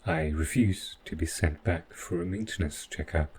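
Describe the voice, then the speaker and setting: A man playing an overprotective robot butler gone rogue, speaking with formal, measured politeness in a scripted audio roleplay, calm and possessive. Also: low voice, slight background noise, close to an everyday voice. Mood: polite, unyielding.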